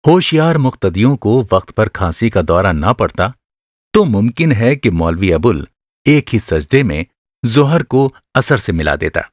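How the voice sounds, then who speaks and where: A man narrating a literary short story for a radio drama, with expressive, measured delivery and careful phrasing. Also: fairly low pitch, no echo, clean studio sound.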